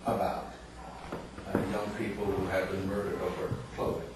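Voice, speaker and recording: A very echoey, distant recording of a man with a low voice. He speaks in a halting, deliberate way with formal phrasing, as if thinking through his comments in a discussion, with clear noise around him. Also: flat delivery. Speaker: audience member at a book reading. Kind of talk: reflective discussion at a book talk. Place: indoor literary salon, far from mic.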